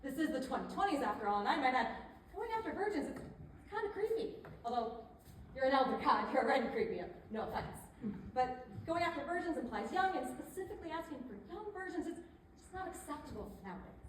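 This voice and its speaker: A woman's voice: high voice, very animated, a little fast. A woman playing a chatty, pushy but tactful image consultant in a stage comedy, conversational and persuasive with hesitant asides. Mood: coaxing. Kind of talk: theatrical stage comedy acting. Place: indoor theater stage. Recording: echoey theater, distant mic, faint noise.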